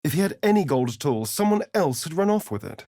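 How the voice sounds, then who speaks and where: An actor voicing a sly, vain vampire rogue for a video game, with an English accent and an arch, theatrical, drawling delivery. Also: male voice, on the high side, big pitch swings, a little fast, warm tone. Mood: hushed narrating.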